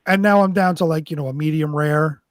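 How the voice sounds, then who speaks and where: Higher-voiced man chatting casually, dry sound. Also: a little fast, no echo. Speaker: co-host of a live online show. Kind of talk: casual conversational chat.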